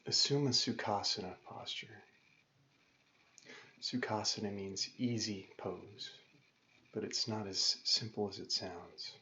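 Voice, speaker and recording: A man leading a guided meditation as a yoga teacher, calm and gentle, giving measured instructions with pauses. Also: on the low side. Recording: dull lo-fi recording with faint noise.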